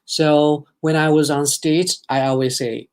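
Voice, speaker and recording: A man's voice: on the high side, steady tone, measured pace, clear, resonant tone. A young man from Thailand with a Thai accent, hosting a casual live stream, chatting with viewers and reading their comments aloud. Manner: chatty, reads out viewer comments, sprinkles in foreign phrases. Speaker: livestream host.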